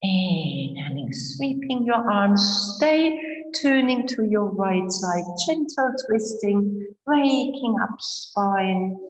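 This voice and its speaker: A woman leading guided breathing and gentle yoga as an instructor, in short, measured, soothing phrases with long pauses. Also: medium pitch, animated. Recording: roomy echo, slightly muffled sound.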